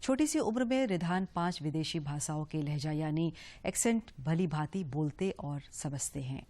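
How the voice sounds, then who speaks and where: A woman anchors a regional news bulletin. Her voice sits low, and she reads in a steady, formal way. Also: normal speed. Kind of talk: scripted news reading.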